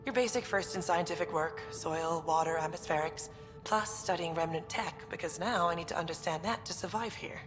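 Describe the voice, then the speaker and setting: Music plays under a low, reverberant voice: an actress as a determined female colony scientist in a sci-fi game. She talks with informal confidence and plain earnestness. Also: a little fast, natural voice. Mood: brisk, confident. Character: adult woman, upbeat determined colony research scientist. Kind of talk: video game dialogue. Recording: long reverb, music behind.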